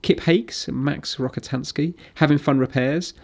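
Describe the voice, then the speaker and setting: A man's voice: fairly high pitch, unhurried, gravelly. A middle-aged man giving casual, informal commentary, thinking aloud as he works on a repair. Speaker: repair video host. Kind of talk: casual hands-on commentary while working.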